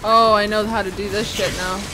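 A woman's voice: mid-range voice, little pitch movement, a little fast, low-quality recording. A woman streaming a game with casual commentary, blurting out sweary reactions as she plays. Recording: loud game music behind.

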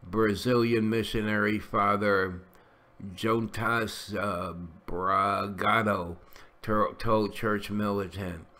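A man's voice: on the low side, steady tone, slow, sharp tone. A middle-aged man reading an article aloud in a casual way, stumbling over names and adding little asides. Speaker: channel host reading a news article.

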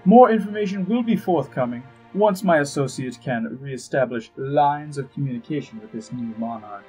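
An actor playing an urbane, wry, scheming ambassador in a fantasy audio drama, droll, precise and pompous, with a husky voice. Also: male voice, medium pitch, animated, normal speed, a little mellow, lightly acted, low-quality recording. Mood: droll, exasperated. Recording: music behind the voice.

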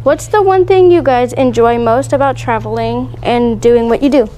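A woman's voice: fairly high pitch, normal speed, warm tone, rough recording. A woman speaking casually as a vlog host and interviewer. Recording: faint background noise.

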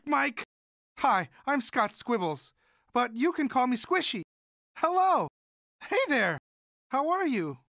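A man voicing a sweet, timid young monster student in an animated video game, speaking in a hesitant, polite, childlike and earnest way. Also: very high-pitched, gravelly, no echo, studio quality, full character voice. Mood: friendly, eager. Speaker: video game character voice actor.

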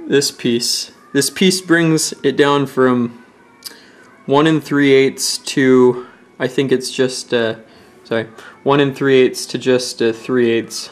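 A man's voice: mid-range voice, fairly even intonation, takes it slowly. Casual young man explaining a DIY build. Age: young adult. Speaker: DIY tutorial presenter. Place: indoors in a home. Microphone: handheld camera at close range.

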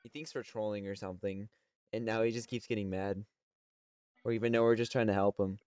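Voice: medium pitch, fairly even intonation, fairly quick, darkish tone, clean tone, no room sound, muffled recording. A young person chatting casually and teasingly with friends on an online voice call. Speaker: video maker. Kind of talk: casual group voice chat.

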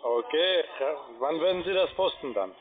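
A man with a fairly high voice chats casually as a caller. His voice comes through a tinny, narrow phone line. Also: expressive, normal speed. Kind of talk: short casual phone chat.

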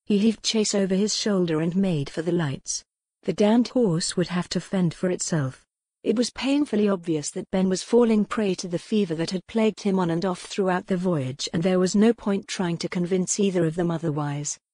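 Low-voiced woman narrating fiction. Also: moderate pace, dark tone, dry recording. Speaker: audiobook narrator. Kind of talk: scripted dramatic fiction narration.

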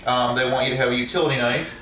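A man's voice: medium pitch, steady tone, very fast, distant mic, noisy background. A man, a truck driver, casually showing his tools with lots of ums and restarts, recorded at home by a camera set up overhead. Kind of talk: casual show-and-tell of tools. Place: indoor room at home. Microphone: camera set up overhead, a few feet from the speaker. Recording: echoey room, voice a bit off mic.

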